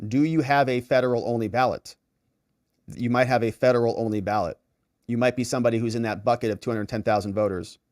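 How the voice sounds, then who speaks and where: A man hosting a live commentary stream, reading out viewer messages and thanking supporters in a chummy, effusive way with theatrical, drawn-out emphasis. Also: medium pitch, fairly even intonation, a little mellow, no room sound, studio quality. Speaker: host of a live political and legal commentary stream. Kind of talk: casual livestream sign-off, reading viewer messages and shout-outs.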